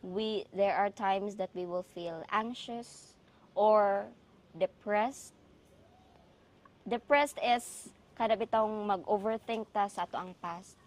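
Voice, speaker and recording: A woman from the Philippines with a Filipino accent and a Cebuano mother tongue, co-hosting a casual, reflective chat in a halting way with trailing thoughts. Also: medium pitch. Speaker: podcast co-host.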